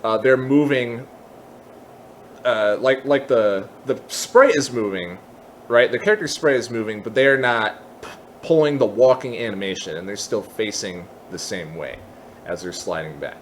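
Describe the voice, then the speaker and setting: A man giving an unscripted software tutorial, explaining casually step by step and thinking aloud as he goes. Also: lowish voice, measured pace, a little hiss or hum. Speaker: tutorial presenter.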